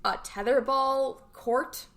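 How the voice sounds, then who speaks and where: A woman with a high voice hosts a casual morning show. She reads out announcements in an upbeat way, with a playful, chatty touch. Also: fairly even intonation, slow, a bit bright. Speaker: camp morning show host.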